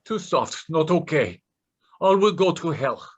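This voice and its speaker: An actor playing a desperate Russian scammer in staged readers theater, speaking halting broken English with a Russian accent. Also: male voice, fairly high pitch, animated, husky. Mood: anxious, frustrated. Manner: halting broken English, muttering asides to himself. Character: desperate Russian male scammer posing as Nigerian suitor.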